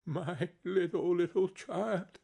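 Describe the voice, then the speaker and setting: A man playing a meek, kindly poor father in a storybook reading, gentle and full of grief. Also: fairly high pitch, lively intonation, slow pace, gravelly, breathy edge, voice pushed up, exaggerated voice. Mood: broken grief. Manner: gentle, pleasant, tender.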